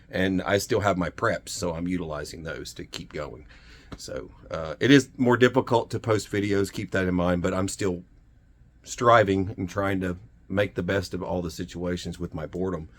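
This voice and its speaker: Low, husky-voiced man chatting about gear. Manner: informal, rambling, folksy slang. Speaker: survival gear video host. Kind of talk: casual product showcase talk to viewers. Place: indoors in a house.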